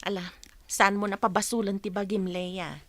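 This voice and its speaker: A woman's voice: medium pitch, flat delivery, moderate pace, a bit bright, dry recording, lightly acted. A woman playing a kindly, helpful neighbour in an audio drama. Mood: concerned.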